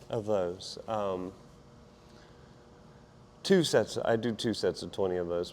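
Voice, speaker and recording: Hoarse, lower-pitched man chatting casually mid-workout. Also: darkish tone, slight background noise. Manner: informal, self-deprecating, rambling while exerting himself. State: hoarse, partly lost voice. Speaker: fitness vlogger. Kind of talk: casual workout vlog chat while exercising.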